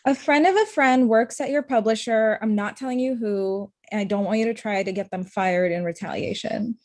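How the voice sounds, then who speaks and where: A woman's voice: a little high, normal speed, no echo, clean studio sound, natural voice. A young woman acting a blunt, hurt, confrontational ex-girlfriend in her thirties in a comedy-drama table read. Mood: blunt, cold. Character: Amita, blunt, hurt ex-girlfriend, thirty-five, sharp-tongued lawyer.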